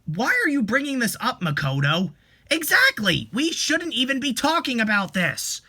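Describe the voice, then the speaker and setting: Man putting on scolding older relative, high pitched. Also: huge pitch swings, normal speed, bright, forceful tone, breathy edge, heavily acted. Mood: indignant.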